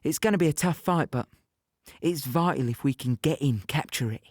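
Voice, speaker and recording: An actor playing a young wounded British infantryman, plainly and haltingly telling his story in an audio play. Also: a little high, a little mellow, dry recording, studio quality, natural voice. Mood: rueful, frustrated. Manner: conversational, halting, present-tense storytelling. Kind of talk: first-person dramatic monologue in audio play.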